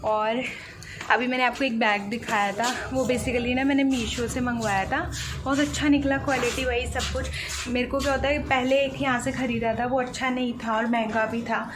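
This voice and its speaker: A talkative woman in her thirties records a daily vlog on a phone at arm's length, her voice sitting a little high. She rambles easily and coaxes patiently as she guides a child. A steady hiss of background noise runs underneath. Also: moderate pace. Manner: chatty and rambling, coaxing when teaching her son. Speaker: vlogger and mother. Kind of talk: casual daily vlog chat. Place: in a car, then at home indoors. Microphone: phone held at arm's length.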